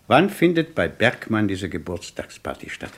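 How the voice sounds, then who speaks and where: An actor playing a menacing gangster boss in a German radio crime play, mockingly courteous and smooth-talking with a gravelly voice. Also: male voice, on the low side, very animated. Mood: sly persuasion. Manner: ironically polite, smooth-talking, unhurried menace.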